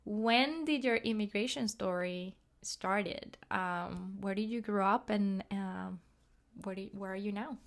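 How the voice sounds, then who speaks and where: A woman hosting a podcast interview over a video call, speaking English with a Latin American Spanish accent. She sounds chatty and friendly and laughs easily, at a middling pitch. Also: unhurried, gravelly. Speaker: podcast host.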